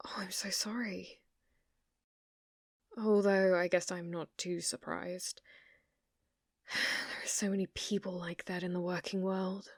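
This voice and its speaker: A woman performs a sweet British shop clerk for an ASMR roleplay, with an obsessive streak under the shyness. She speaks slowly and softly in a hesitant, solicitous way, very close and dry with no room sound. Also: on the low side, flat delivery, dry recording. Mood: sympathetic. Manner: hesitant, apologetic, solicitous, with soft giggles. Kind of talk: soft-spoken ASMR roleplay acting.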